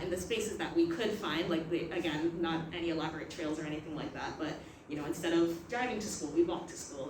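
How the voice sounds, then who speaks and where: Soft-spoken woman, echoey and distant. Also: lowish voice. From Canada. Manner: reflective, laughs often. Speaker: panel moderator. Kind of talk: casual panel discussion. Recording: echoey room, far from mic, noisy.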